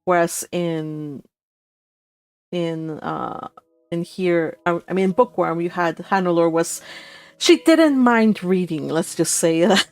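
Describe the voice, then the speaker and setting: A woman's voice: on the low side, slow pace, no room sound. A woman chatting casually and giggly as host of a book club read-along. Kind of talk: casual chat.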